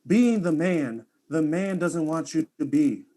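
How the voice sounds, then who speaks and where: Actor playing a high-voiced, melodramatic vain streamer guy. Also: animated, a little slow, warm tone. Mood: self-important. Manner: melodramatic, flowery, swooping delivery. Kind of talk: comic audio drama acting.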